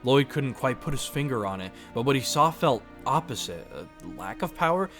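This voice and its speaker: A man's voice: mid-range voice, animated, normal speed, a bit bright. Man narrating a story over music.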